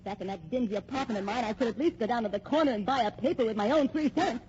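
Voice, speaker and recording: An actress playing a discontented, defiant but frightened gold-digging American wife in a vintage radio drama, with dramatic, complaining delivery. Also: female voice, fairly high pitch, fast, a little mellow, close to an everyday voice. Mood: bitter. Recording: muffled, noisy old radio recording.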